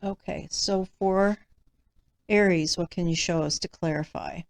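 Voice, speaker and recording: A woman giving a tarot reading in measured, deliberate phrases with pauses, her voice slightly nasal. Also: on the low side, steady tone, creaky, background noise. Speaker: tarot reader and channel host. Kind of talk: slow, deliberate tarot reading, part read aloud from cards.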